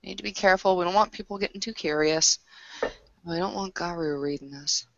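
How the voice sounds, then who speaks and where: A woman's voice: lowish voice, a little fast, gravelly, fairly bright tone, no echo, lo-fi sound. A woman playing a tabletop roleplaying game, speaking casually both in character and as herself.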